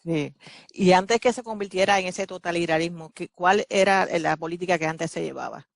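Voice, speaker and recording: A woman hosting a Spanish-language interview, reading a measured, earnest introduction and asking questions. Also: lowish voice, creaky. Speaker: host and interviewer. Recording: music playing behind the voice.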